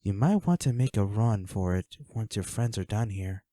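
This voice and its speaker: A man playing a flirty, menacing villain in an audio roleplay, speaking to the listener with taunting, mock-sympathetic, unhurried delivery. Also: on the low side, expressive, dark tone, dry recording, clean studio sound, lightly acted. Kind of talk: scripted audio roleplay acting addressed to the listener.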